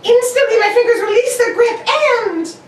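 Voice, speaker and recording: A woman's voice: very high voice, moderate pace. A woman playing a brilliant, exacting male detective in an old-time radio drama, with precise, theatrical delivery. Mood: brisk, confident. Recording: echoey room, distant mic, faint noise.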